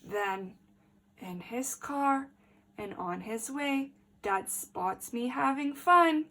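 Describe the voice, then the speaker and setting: A woman teacher reading a picture book aloud to young children, expressive and emphatic, addressing them directly with questions. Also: high voice, drawn-out words.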